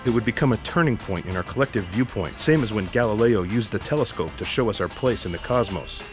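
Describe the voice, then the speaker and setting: A man's voice: on the low side, lively intonation, fast talker, natural voice. A man playing a disillusioned, wry ex-secret agent, dry and wordy, in a comic sci-fi audio drama with music behind. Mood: resigned, sardonic.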